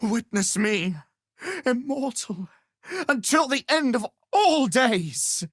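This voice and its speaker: A man's voice: extremely high pitch, lively intonation, slow, a bit bright, dry recording, clean studio sound, heavily acted. An actor playing an arrogant, grandiose male wizard in a fantasy video game, with a pompous, condescending, theatrical manner. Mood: grandiose, triumphant.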